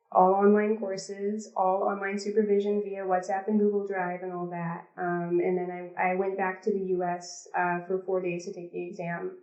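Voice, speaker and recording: A woman's voice: mid-range voice, a little mellow. A woman in her thirties from the Pacific Northwest, chatting as a guest in an interview, talking with lots of ums and restarts. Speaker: guest, behavior analyst. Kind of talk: casual interview talk. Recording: lo-fi, roomy call audio.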